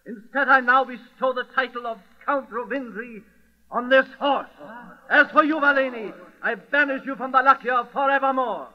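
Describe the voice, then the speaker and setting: Vengeful ruler, very high voice, pompous, declamatory, radio play, muffled old recording. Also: male voice, normal speed. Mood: vengeful, imperious. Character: imperious, vengeful male provincial governor commanding nobles. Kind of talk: old-time radio drama acting.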